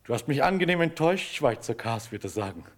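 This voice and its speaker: A man acting in a radio drama as a naive, simple-hearted young soldier, guileless and excitable. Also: medium pitch, fast. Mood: pleased musing.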